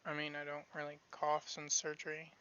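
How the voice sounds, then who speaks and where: A man's voice: fairly high pitch, monotone, brisk, bright, forceful tone, lo-fi sound. A man giving a casual update to his viewers after surgery, drowsy, rambling and restarting often, with a scratchy voice. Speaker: streamer giving a health update. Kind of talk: casual live-stream chat.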